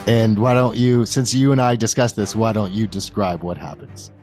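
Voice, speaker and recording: Low, mellow male game master. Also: steady tone, moderate pace, soft background music. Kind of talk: narrating a tabletop game.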